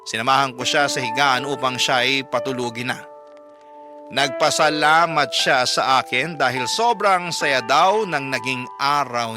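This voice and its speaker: Man narrating a sentimental story over music. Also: mid-range voice. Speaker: radio drama host and narrator. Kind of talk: scripted first-person story narration, reading a letter. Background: background music.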